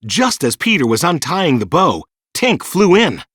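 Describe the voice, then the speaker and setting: A man reading a children's storybook in an animated, dramatic storytelling manner. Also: fairly high pitch, a little slow, husky, no echo, clean studio sound. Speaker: storybook narrator. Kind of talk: scripted children's storybook narration.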